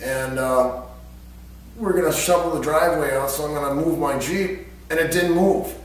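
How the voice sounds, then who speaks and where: Casual American male storyteller, higher-pitched, recorded at a distance in a very reverberant room. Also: normal speed. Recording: echoey room, distant mic, faint hum.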